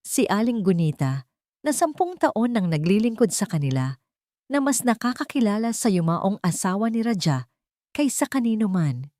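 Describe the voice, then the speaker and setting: A woman's voice: medium pitch, very animated, normal speed, mellow, no echo. A woman reading a scripted love story as a dramatic storyteller.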